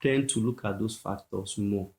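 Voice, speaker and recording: A man's voice: on the low side, moderate pace, a little mellow. A man, a pastor talking casually on a podcast, repeating his points and often asking "you get?".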